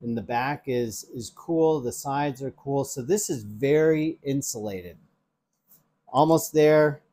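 A man's voice: medium pitch, slow, warm tone. A man in his forties, a tech reviewer, chatting casually through a product demo in his home kitchen on a lapel mic, with ums and restarts as he handles the device. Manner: chatty, explains while handling gadgets, frequent ums and restarts. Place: home kitchen by an open window.

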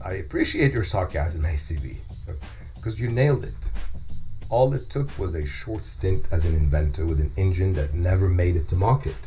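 A middle-aged man playing an earnest hometown journalist in a scripted podcast, telling local history in a measured way with deliberate pauses. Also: low voice, lively intonation, mellow, soft background music. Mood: wry, animated. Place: small indoor podcast studio. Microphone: close studio microphone on a stand.

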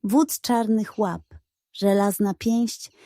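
Female narrator for children's bedtime tales, slow-paced and warm in tone. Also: mid-range voice, fairly even intonation, dry recording, studio quality. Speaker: storyteller.

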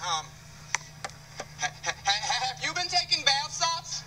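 A man in his thirties from Chicago doing a playful, animated stand-up set and teasing the crowd. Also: extremely high pitch, slow pace. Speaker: stand-up comedian. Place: stage in a large indoor venue. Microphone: handheld microphone. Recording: distant stage mic, faint room noise.

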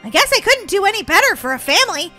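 A woman's voice: very high voice, big pitch swings, quick pace, sharp tone, faint music behind, pitched above the performer's own voice, exaggerated voice. A woman voicing a sweet, eager adopted girl in a cheerful children's game roleplay.

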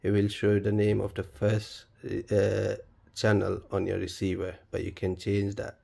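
Low, husky, monotone man giving instructions. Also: normal speed. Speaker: tutorial presenter. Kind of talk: step-by-step spoken tutorial, unscripted.

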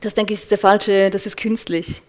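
A woman's voice: mid-range voice, steady tone, normal speed, a little mellow. A woman in her thirties with a Swiss accent, answering on a panel in a thoughtful way, with many restarts. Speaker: panel guest, culture officer. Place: event room with large windows.

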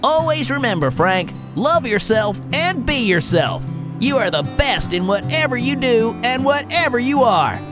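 Kind cartoon tow truck, slow calm pep-talk voice, music behind. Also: mid-range voice. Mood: encouraging. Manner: calm, encouraging. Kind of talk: children's cartoon acting.

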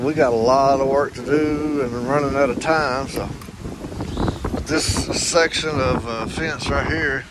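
A man giving a rambling, folksy walkaround of his farm, recorded outdoors on a handheld phone. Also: medium pitch. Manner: rambling, folksy, thinking aloud with many fillers. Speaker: farm owner vlogger. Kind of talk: casual walkaround vlog. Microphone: phone held while walking. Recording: wind noise on phone mic outdoors. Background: wind and outdoor ambience.

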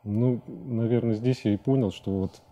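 A middle-aged man talking reflectively about his work as an interview guest in a studio, with halting phrasing. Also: medium pitch, steady tone, mellow, highs cut off. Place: TV studio, seated at a desk.

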